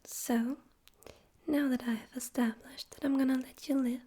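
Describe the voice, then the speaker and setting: A woman voicing a suave, amused, menacing male demon overlord in a breathy whispered ASMR roleplay, slow and condescending. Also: high-pitched, fairly even intonation, dark tone. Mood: coolly threatening. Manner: slow, condescending, teasing, deliberate.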